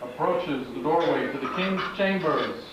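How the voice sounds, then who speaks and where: A man's voice: a little high, unhurried. A man narrating a puppet show as scripted storytelling, in a declamatory, folksy way. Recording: very echoey, distant, dull, noisy live recording.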